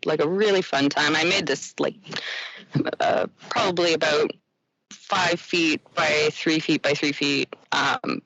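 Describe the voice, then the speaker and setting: A young woman from Quebec, guest on a podcast, talking casually with many restarts and soft laughs. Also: low-pitched, poor audio. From Quebec, Canada. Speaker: ironworker guest.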